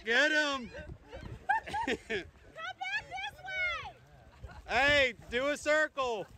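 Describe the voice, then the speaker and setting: A woman shouts in a very high voice outdoors on a beach. Her pitch leaps wildly and her words come slow and stretched out. Wind and surf noise sits clearly behind her. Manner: excited yelling and laughing outdoors.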